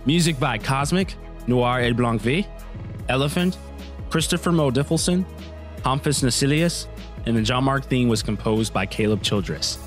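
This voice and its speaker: A man's voice: mid-range voice, animated, moderate pace. A man reading scripted credits as an announcer. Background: music.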